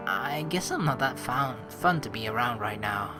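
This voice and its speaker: A low-voiced, troubled young student , as a woman reader plays the part in a visual novel game. The delivery is subdued and hesitant, dropped well down in pitch, with music behind. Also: animated, normal speed. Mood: downcast.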